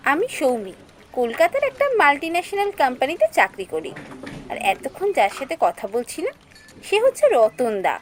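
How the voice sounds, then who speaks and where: A woman's voice: high-pitched, a little hiss or hum. A woman voicing an earnest young female office worker who acts and narrates her own cartoon story expressively.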